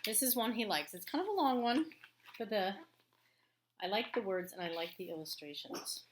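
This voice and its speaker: A woman's voice: medium pitch, animated, a little hiss or hum. Woman teacher reading aloud to children. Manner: explanatory, pauses to comment on pictures. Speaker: elementary school teacher. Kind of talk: read-aloud lesson for children, storybook reading with chatty asides.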